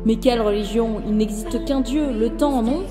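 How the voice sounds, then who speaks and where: An actress plays a questioning young woman in an audio drama, with a fairly high voice. She sounds sceptical, in an echoey hall with music behind. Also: moderate pace, close to an everyday voice. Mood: sceptical, puzzled. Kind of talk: French audio drama acting.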